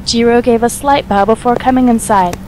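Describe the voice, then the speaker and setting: A woman's voice: on the high side, a little fast, background noise. A woman reading scripted story narration as the narrator of an audio drama.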